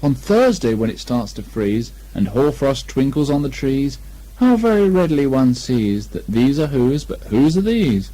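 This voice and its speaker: A man voicing a good-natured, slow-witted bear from a children's storybook, hesitant and earnest. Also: medium pitch, sing-song, mellow, low-quality recording. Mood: earnest reciting. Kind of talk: children's storybook character reading.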